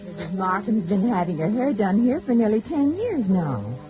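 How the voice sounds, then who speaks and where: A salon hairdresser from old radio drama, acted by a woman, recounting what she knows with very varied pitch. The recording is dull and has clear music underneath. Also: sing-song, moderate pace, lightly acted. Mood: reporting, puzzled. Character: female hairdresser giving a drawn-out statement. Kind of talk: 1950s radio drama acting.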